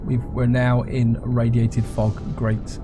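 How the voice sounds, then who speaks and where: A man with a sore throat and a croaky voice, casually commenting on his own gameplay and chatting to viewers. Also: medium pitch, completely flat delivery, slow. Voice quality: croaky, gravelly voice. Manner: chatty, addresses viewers as guys. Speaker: gameplay video host. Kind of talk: casual let's-play commentary. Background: game music.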